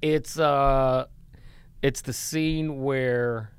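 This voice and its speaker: A man's voice: medium pitch, steady tone, very slow, clean tone, no echo. A man from Alabama co-hosting a casual podcast, joking around, quoting movie lines and laughing easily. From Huntsville, Alabama, US. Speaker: podcast co-host. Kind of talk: casual banter.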